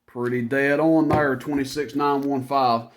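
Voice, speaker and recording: A man's voice: mid-range voice, steady tone, measured pace. A man giving a casual product demonstration as a seller, talking informally and rambling through each step as he goes.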